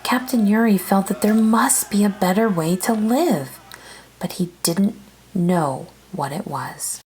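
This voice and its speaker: A woman's voice: medium pitch, a little slow, background noise. A woman reading a children's story aloud as narrator, expressively, drawing out some phrases.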